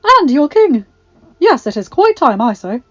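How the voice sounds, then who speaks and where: A woman voicing an eccentric, excitable old enchantress who exclaims fussily and dotingly in a storybook reading. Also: fairly high pitch, measured pace, dry recording, lo-fi sound. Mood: excited, doting.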